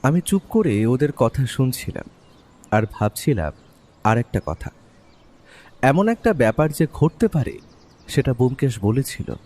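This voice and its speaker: A man's voice: medium pitch, very animated, unhurried, long pauses, a little mellow. A man narrating a detective story in a dramatic, scripted first-person style.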